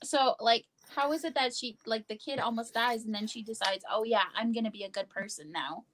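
A young woman talking casually about a book on a video call, in a halting, informal way full of "like". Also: a little high, fairly bright tone, low-quality recording. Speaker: guest co-discussant. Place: small indoor room with bookshelves.

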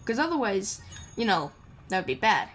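A woman's voice: mid-range voice, very animated, moderate pace, fairly bright tone. Chatty woman gamer over game music. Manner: chatty, playful, mumbles asides to the game character. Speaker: gamer hosting a playthrough. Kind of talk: casual improvised gameplay commentary. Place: indoor voice-over recorded over game audio. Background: video game music.

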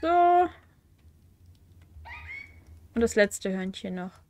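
A woman's voice: on the high side, big pitch swings, extremely slow, darkish tone. Chatty young woman with a cold. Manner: chatty, wry, with frequent fillers and self-corrections. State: has a cold. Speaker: let's play host. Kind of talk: casual gameplay commentary, reading quest text aloud. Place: at home.

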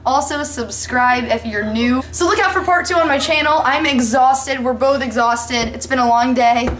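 A woman's voice: sits high, normal speed. A young woman under twenty-one, chatting and laughing as she films a casual travel vlog on a handheld camera. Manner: giggly, chatty, often laughing. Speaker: vlogger. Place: hotel room and streets.

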